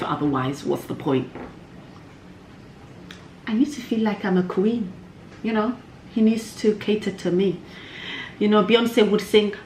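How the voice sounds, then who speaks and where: A woman's voice: low-pitched, darkish tone. A woman chatting casually to viewers in a candid, cheeky, slangy way, like a vlog host. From Somalia. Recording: echoey room, faint background noise.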